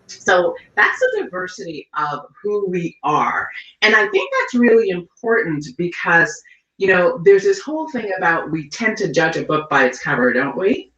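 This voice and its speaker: A woman's voice: fairly low pitch, very animated, normal speed. A woman from Trinidad hosting a panel discussion, addressing her guests with affectionate names. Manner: warm-hearted and affectionate with guests. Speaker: panel host. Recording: echoey, distant, dull audio.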